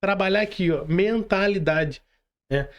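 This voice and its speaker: Male podcast host, Brazilian accent, high voice, slangy, emphatic repetition, casual interview chat. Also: unhurried.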